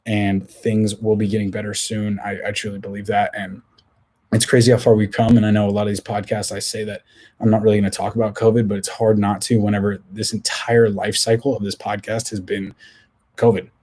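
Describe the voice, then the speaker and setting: A young man hosting his own podcast alone, talking casually with a hoarse voice, rambling with many restarts and fillers. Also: fairly low pitch, level tone, a little fast, darkish tone. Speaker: podcast host. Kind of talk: casual solo podcast talk.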